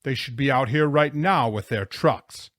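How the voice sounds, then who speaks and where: A man's voice: mid-range voice, a little slow, a bit bright, no room sound, studio quality, close to an everyday voice. A man voicing a blustery, grumbling, worn-out grown man in an audiobook story. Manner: gasping, grumbling, roaring.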